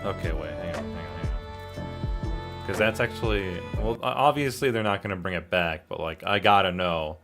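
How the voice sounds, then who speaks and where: A man's voice: deep voice, expressive, moderate pace, over music. A man talking casually to viewers as a live streamer. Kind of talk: casual livestream chat.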